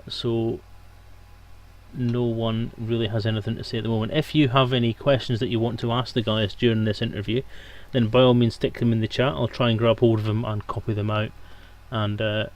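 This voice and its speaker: A man's voice: on the low side, flat delivery, normal speed. A man hosting a live stream on a headset microphone, chatting informally to viewers in a joking, digressive way. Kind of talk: casual rambling livestream chat to viewers.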